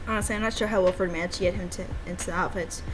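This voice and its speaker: A woman's voice: lowish voice, fairly even intonation, very fast, slight background noise, poor audio. A woman giving casual livestream commentary on her own game, with an enthusiastic, giggly, rambling manner. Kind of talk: casual livestream chat mixed with reading game dialogue aloud.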